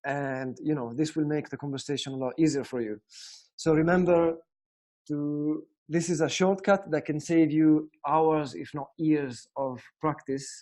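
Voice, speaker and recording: Italian man, young, guitar teacher, slightly high and mellow voice, halting and full of fillers. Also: steady tone. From Italy. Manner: hesitant, many fillers and restarts. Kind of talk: casual spoken lesson.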